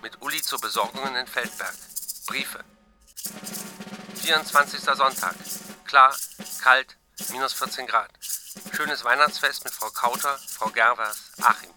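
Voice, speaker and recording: An actor playing a terse diarist who reads entries in clipped, telegraphic bursts in a radio play. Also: male voice, medium pitch, measured pace, pushed and bright. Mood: brisk, clipped. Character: terse male diarist noting weather and chores. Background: percussive music.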